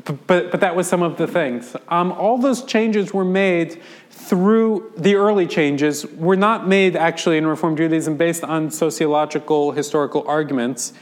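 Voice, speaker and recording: American rabbi lecturing, slightly high voice. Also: male voice. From United States. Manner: explanatory, conversational, with frequent ums and restarts. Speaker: rabbi teaching a class. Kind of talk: informal lecture with questions.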